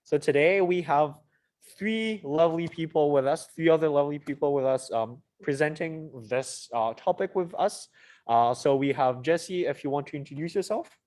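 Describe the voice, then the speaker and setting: A man's voice: on the high side, moderate pace, darkish tone. A young man from Mauritius moderating an informal online student presentation, with casual, slightly hesitant phrasing. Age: young adult. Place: online video call.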